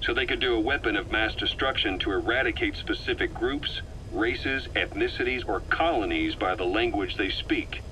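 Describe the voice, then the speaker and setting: A grizzled intelligence officer for a video game briefing. His voice is lowish and hard-edged, with real bite. He explains things in a measured, emphatic, analytical way over faint background noise. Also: bright, forceful tone, low-quality recording. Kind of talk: dramatic game monologue, explaining a theory in a briefing.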